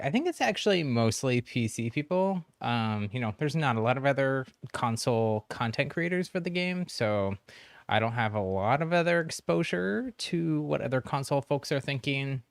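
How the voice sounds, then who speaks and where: Male game streamer, clear smooth voice at an ordinary pitch, friendly and chatty, close dry sound. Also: dry recording. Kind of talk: casual livestream chat with viewers.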